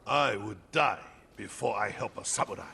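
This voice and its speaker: An actor playing a bitter, defiant older islander who tells stories in a dramatic, emphatic way in a video game. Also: male voice, on the low side, normal speed, vocal fry, bright, forceful tone, a little hiss or hum, heavily acted. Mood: angry accusing. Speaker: village storyteller.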